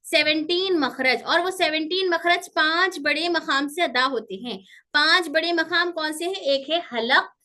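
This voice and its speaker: A woman teaches an online pronunciation class in a high, bright voice. She goes step by step, repeats key terms, asks her own questions and answers them. English terms slip into her teaching.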